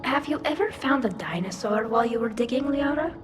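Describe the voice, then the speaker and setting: A gentle alien engineer from a video game, acted by a woman. She sounds curious and higher-pitched, with music clearly behind. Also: lively intonation, brisk, lightly acted. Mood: gentle curiosity. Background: background music.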